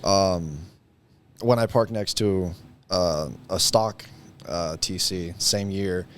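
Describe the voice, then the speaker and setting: A young man chatting casually as a guest, explaining things in a halting way. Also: low voice. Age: young adult. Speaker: guest, high school senior. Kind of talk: casual chat. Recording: faint background noise.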